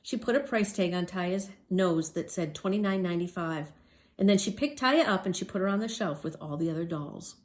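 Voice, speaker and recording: A woman reading a children's story aloud as a storyteller, expressive and emphatic. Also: on the low side, a little fast.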